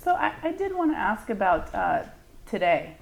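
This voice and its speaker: A woman's voice: medium pitch, very animated, moderate pace, creaky, rough recording. A woman co-hosting a podcast, teasing and playful in casual banter. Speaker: podcast co-host.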